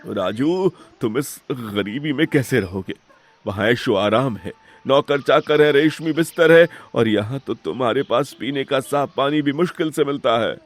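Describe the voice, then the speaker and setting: A man's voice: medium pitch, moderate pace, close to an everyday voice. Casting: man to play greedy elder-brother villain, mid-range voice, dramatic and pleading, village cartoon. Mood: remorseful, pleading. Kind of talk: melodramatic cartoon moral-story acting.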